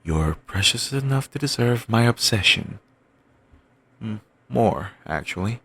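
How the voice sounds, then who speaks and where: A man voicing an obsessive, doting young boyfriend, rambling softly in a bedtime comfort roleplay. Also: on the low side, lively intonation, measured pace, creaky, breathy edge, exaggerated voice. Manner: soft, rambling, affectionate murmuring.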